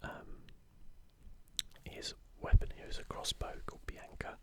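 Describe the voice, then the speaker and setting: A man whispering softly and breathily in an unhurried, gentle ASMR commentary. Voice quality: soft, breathy unvoiced whisper. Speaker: gaming ASMR video creator. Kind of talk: whispered ASMR, casual commentary with passages read aloud.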